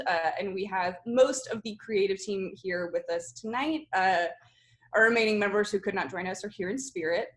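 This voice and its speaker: A woman's voice: mid-range voice, a bit bright. A woman who directs theatre, hosting an informal group discussion in a casual, conversational style. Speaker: host, theatre director and producer.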